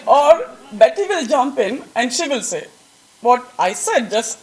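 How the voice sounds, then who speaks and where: A woman's voice: sits high, big pitch swings, measured pace, a little mellow, rough recording. Chatty woman with an Indian accent. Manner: chatty, laughing at own jokes. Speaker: colleague giving a tribute speech. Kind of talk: speech at a staff event. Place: event room with an audience.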